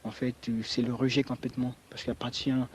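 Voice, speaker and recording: A man's voice: medium pitch, little pitch movement, brisk, darkish tone. A young man from French Guiana speaks in a mid-range voice as an interviewee. He tells his own story earnestly and emphatically, leaning on filler phrases, over faint background noise. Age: young adult. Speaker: student interviewee. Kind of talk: spontaneous personal account in interview.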